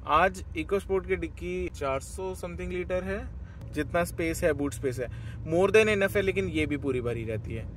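A man's voice: a little high, a little slow, slight background noise. Young male travel vlogger, casual and rambling, talking to a handheld camera, with a bit of a lift in his voice. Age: young adult. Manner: chatty, rambling, mixes English phrases into his speech. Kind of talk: casual vlog talk to camera. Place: inside a car on a road trip; hotel room, indoors. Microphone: camera held at arm's length.

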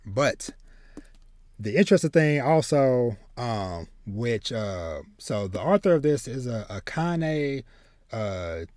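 Man, thirties, podcast host, casual talk, halting with repeated words, dry home recording. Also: medium pitch, big pitch swings, darkish tone, no room sound. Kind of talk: casual chat about manga and anime. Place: small home room with bookshelves.

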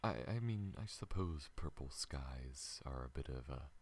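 A man voicing a gentle, shy, apologetic alien in an intimate ASMR roleplay, soft-spoken and hesitant, often slipping into a whisper. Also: extremely low pitch, expressive, a little slow, slight background noise. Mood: wistful, curious.

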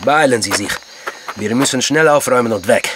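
An actor playing a cool, commanding secret agent in a crime radio drama, curt and authoritative. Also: male voice, mid-range voice, brisk, noisy background, natural voice.

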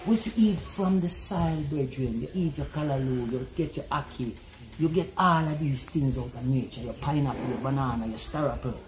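A middle-aged Jamaican man, a guest in an animated outdoor conversation, who talks emphatically and keeps asking "you see it?". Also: mid-range voice, moderate pace, a little hiss or hum, rough recording. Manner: emphatic, repeats "you see it?", gestures while talking. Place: open-air pavilion in a garden.

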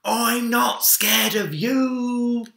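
A man voicing an eager, brave, affectionate little boy in a storybook, high-pitched and emphatic. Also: steady tone, drawn-out words, vocal fry, pushed and bright, heavily acted. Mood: playful, boastful. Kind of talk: children's storybook read-aloud. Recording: roomy echo.

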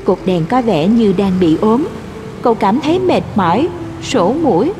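A woman's voice: medium pitch, lively intonation, measured pace, noisy background. A woman narrating a scripted story. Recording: long hall reverb, faint music.

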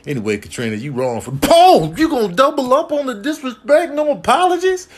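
A man's voice: extremely high pitch, big pitch swings, fairly quick, exaggerated voice. A man doing comedic voiceovers as indignant, sassy talking house pets, trading slangy, exasperated trash talk.

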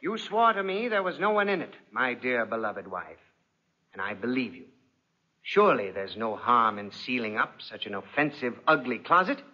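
An actor playing a coldly polite, jealous aristocratic husband in 1940s radio drama, suave, deliberate and mock-affectionate. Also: male voice, medium pitch, animated, pushed and bright. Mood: commanding, mocking. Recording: dull, band-limited 1940s radio recording.

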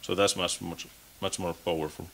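Man, 30s, lowish voice, speaking from a podium at a conference, restarting and repeating words as he goes. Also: level tone, normal speed, vocal fry, a bit bright, a little hiss or hum. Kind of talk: technical conference talk. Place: conference stage.

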